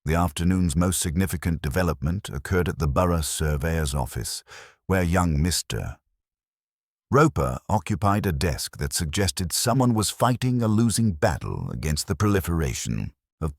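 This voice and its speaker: A man reading a cosy mystery as scripted narration in a measured, wry manner. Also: low-pitched, lively intonation, dry recording, studio quality.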